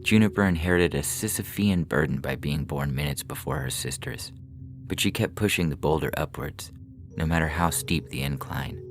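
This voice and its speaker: A man's voice: very deep voice, normal speed. A man reading a short story in a scripted, dramatic narrating style, as on a fiction podcast. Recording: music behind the voice. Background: music.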